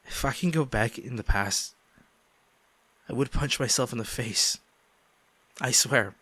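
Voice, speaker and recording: A man acting a guilt-ridden ex-boyfriend pleading for forgiveness in an intimate audio roleplay, halting and emotional. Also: medium pitch, pushed and bright, no echo. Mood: regretful, earnest. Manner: halting, emotional, sighing, repeats himself.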